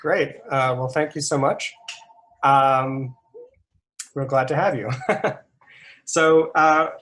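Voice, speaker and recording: A man hosting a candidate forum, speaking haltingly with many restarts and chuckling between points. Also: mid-range voice, steady tone, fairly bright tone. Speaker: forum host, moderator. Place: video call.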